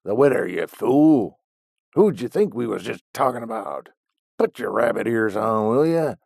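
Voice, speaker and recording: A man voicing a grumpy old ranch hand with a slow, gruff rural drawl. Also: low voice, expressive, no room sound, clean studio sound, exaggerated voice. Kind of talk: audiobook character voice.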